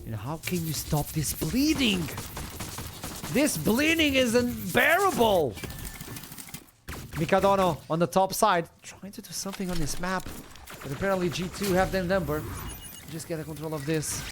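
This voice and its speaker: A man from Portugal doing excitable play-by-play commentary on an esports match. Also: high voice, normal speed, pauses often, music in the background. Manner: excitable, builds to shouts at big plays. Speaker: esports caster.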